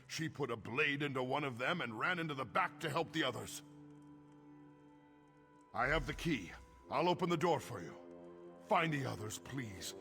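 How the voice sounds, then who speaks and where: Earnest male orc priest, video game drama: urgent, pleading, creaky voice. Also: mid-range voice, sharp tone, in a large hall. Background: game music.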